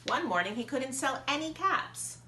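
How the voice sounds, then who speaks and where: A woman reads a storybook to young children as their teacher. Her voice is bright and a little high, with expressive, deliberate emphasis. The room is echoey, with faint noise behind her. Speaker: preschool teacher.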